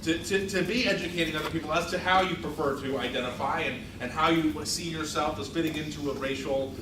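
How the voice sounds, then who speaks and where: A man with a slightly higher voice leads a classroom discussion, hesitating and restarting as he gathers his thoughts. He sounds distant from the microphone, and his voice bounces around an echoey room. Steady background noise sits under everything. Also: fast talker. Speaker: workshop facilitator. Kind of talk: leading a group discussion.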